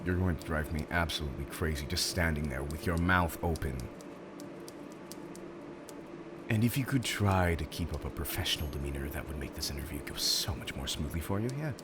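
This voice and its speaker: A man's voice: very deep voice, fast, few pauses, over music. A man playing a theatrical, polite but exasperated supervillain interviewer in a roleplay audio drama, hesitant and mock-professional, prone to chuckling. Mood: flustered, dry.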